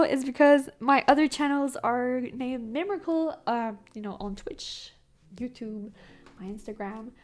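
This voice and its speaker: Excitable young Québécoise woman, quick and high. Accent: Québécois French. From Quebec, Canada. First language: French. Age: young adult. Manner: fast, excitable and rambling, with frequent fillers and restarts. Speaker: tarot content creator. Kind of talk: casual chat to camera.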